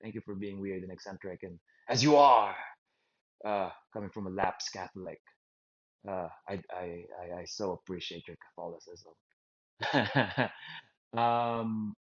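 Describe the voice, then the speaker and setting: A man from the Philippines with a Filipino accent, hosting his own vlog in a halting stream-of-consciousness monologue, often repeating words and poking fun at himself. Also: mid-range voice. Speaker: host. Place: outdoors in a park. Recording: dull lo-fi sound.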